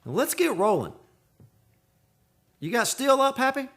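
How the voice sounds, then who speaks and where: A man's voice: very high-pitched, sing-song, unhurried, a bit bright, heavily acted. A man playing an impatient, practical drilling crew boss in a radio drama, brusque and slangy.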